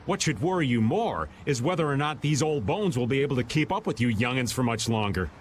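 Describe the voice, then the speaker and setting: A man's voice: medium pitch, sing-song, a little fast, a little hiss or hum, lightly acted. Man playing a cheeky old rogue, wildly swooping voice. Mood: teasing. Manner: folksy, joking, slangy. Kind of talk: video game voice acting.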